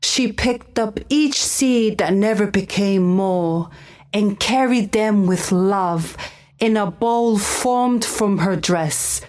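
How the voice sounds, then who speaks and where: A calm female storyteller in a fantasy game , played by an actress, telling a parable. Her voice sits at a medium pitch and takes its time, slow and contemplative throughout. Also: lightly acted. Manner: calm, unhurried. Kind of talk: scripted parable narration.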